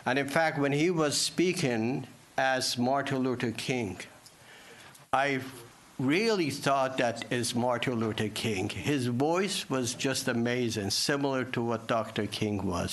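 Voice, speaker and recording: Measured councilman giving his report. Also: mid-range voice, a bit bright, slight background noise. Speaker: councilman, trail committee liaison.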